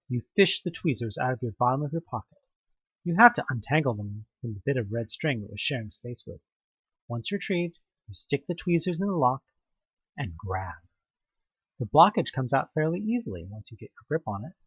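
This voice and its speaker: A man's voice: mid-range voice, husky, dry recording, muffled recording. A young man reading a second-person horror audioplay aloud, building suspense and now and then tripping over a word. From Houston, United States. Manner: tense, suspenseful storytelling with occasional slips. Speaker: narrator.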